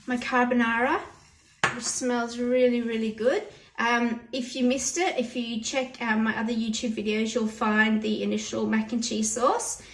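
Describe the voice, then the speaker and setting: A hoarse woman chatting through a cooking demonstration in her home kitchen, explaining each step plainly. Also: fairly high pitch, flat delivery, moderate pace. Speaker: home cooking channel host. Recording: roomy kitchen audio from a distance.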